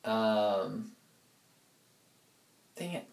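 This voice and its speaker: A man's voice: on the low side, expressive, extremely slow, creaky. A man speaking in a casual, rambling personal audio diary, reflective and informal, often feeling his way through a thought. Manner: informal, reflective, loses and regains his thread. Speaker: personal audio log diarist. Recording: echoey room.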